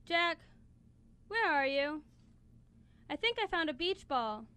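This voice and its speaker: Plucky, kind toy girl, played by a woman, very high bright voice, coaxing and insistent, radio drama. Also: very animated, slow pace, heavily acted. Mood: searching. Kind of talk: student radio play acting. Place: college radio studio, live broadcast. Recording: clear background noise in radio studio.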